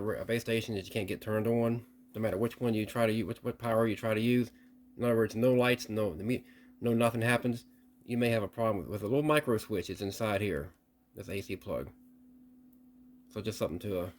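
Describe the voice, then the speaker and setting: A man giving a casual hands-on demonstration and explanation in a halting, repetitive, explanatory way, like a repair hobbyist presenting a video. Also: lowish voice, a little hiss or hum.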